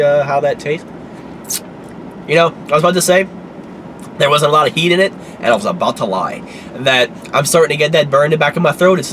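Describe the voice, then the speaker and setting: A man hosting a casual food review vlog, talking in an easygoing, rambling way with many fillers, sounding a little congested and hoarse. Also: a little high, noisy background.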